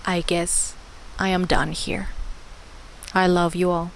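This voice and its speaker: A young woman hosting a spiritual vlog, talking warmly and encouragingly, repeating words and laughing often. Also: lowish voice, little pitch movement, takes it slowly, vocal fry, slight background noise, rough recording. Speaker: spiritual channel host. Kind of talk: personal spiritual vlog monologue.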